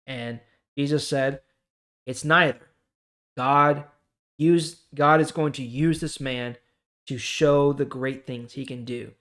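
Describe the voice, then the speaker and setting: A man hosting a Bible reading, explaining scripture informally and checking in with the listener. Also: fairly high pitch.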